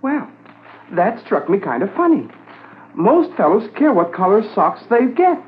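An actor playing a folksy, apologetic small-town shopkeeper who rambles hesitantly, in a 1950s radio drama. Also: male voice, high voice, big pitch swings, measured pace. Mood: earnest, hesitant. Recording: lo-fi 1950s radio recording, faint noise.

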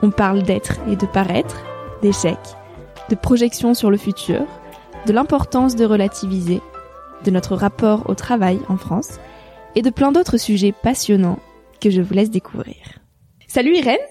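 A woman's voice: mid-range voice, normal speed, warm tone, soft background music. A woman hosting a podcast interview who introduces her guest and asks questions in an upbeat, enthusiastic way. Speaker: podcast host.

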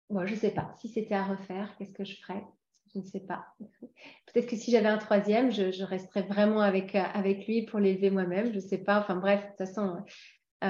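A French woman in her forties answers interview questions at a relaxed, halting pace, with plenty of fillers and restarts. Her voice sits at an ordinary middle pitch. The recording is dull and lo-fi, with a roomy echo around her. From France. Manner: hesitant, many fillers and restarts. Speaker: interview guest. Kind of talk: casual interview conversation.

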